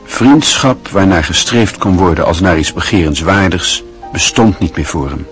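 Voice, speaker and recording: A man narrating a literary radio drama in a measured, grave, reflective way. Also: low voice, lively intonation, soft background music.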